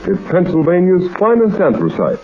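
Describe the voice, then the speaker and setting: Male radio announcer, declamatory, lively swoops, emphatic sales pitch, muffled vintage sound, faint music. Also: normal speed. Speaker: announcer reading sponsor message. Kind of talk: old-time radio announcing, scripted advertisement.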